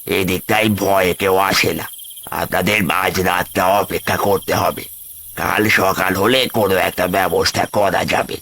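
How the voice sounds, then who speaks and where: A man's voice: deep voice, steady tone, unhurried, creaky, fairly bright tone, slight background noise, heavily acted. A man voicing a mysterious, scornful elderly village man in a cartoon ghost story. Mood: ominous warning. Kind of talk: cartoon horror story voice acting.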